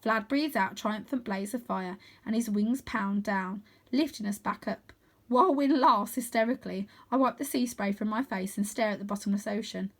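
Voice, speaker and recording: A woman reading a children's adventure book aloud as storyteller, with expressive, dramatic emphasis. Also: mid-range voice, moderate pace.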